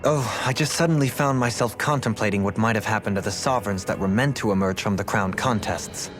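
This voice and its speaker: A man voicing a composed, scholarly gentleman in a dramatic video game dub, speaking formally and in measured phrases. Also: on the low side, natural voice. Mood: pensive. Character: erudite, composed, contemplative gentleman mage. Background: background music.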